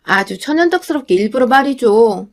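Young woman reading a radio story, kinda high voice, calm confiding tone. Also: a little fast. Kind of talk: scripted first-person radio story narration.

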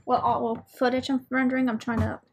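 A woman's voice: a little high, flat delivery, fast talker. A woman giving casual, rambling reaction commentary as she watches an animation. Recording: clear background noise with clicks.

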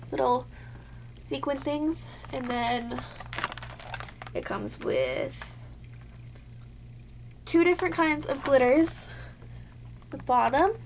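Young woman talking into a webcam, high voice, sick and kinda losing it, lots of "like". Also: darkish tone, slight background noise. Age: young adult. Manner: chatty, lots of "like" fillers. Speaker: beauty vlogger. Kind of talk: casual haul chat to camera. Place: cluttered bedroom, indoors.

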